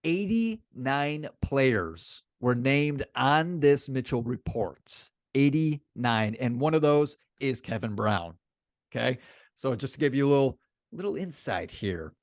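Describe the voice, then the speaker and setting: A man's voice: mid-range voice, expressive, slow pace, dry recording. A man co-hosting a sports podcast in casual chat, speaking emphatically and repeating phrases for effect.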